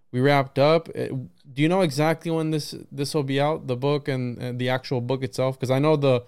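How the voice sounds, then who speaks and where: A man's voice: medium pitch, a little mellow, clean tone, no echo, clean studio sound. A man hosting a podcast, chatting informally in a rambling, self-deprecating way. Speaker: podcast host interviewer. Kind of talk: casual conversational interview.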